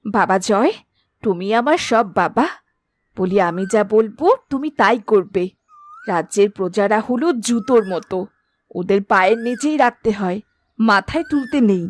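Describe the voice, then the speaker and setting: A woman's voice: mid-range voice, lively intonation, normal speed, lightly acted. A woman playing a vain, cruel, imperious queen in a fairy tale cartoon. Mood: scheming, coaxing. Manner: imperious, commanding.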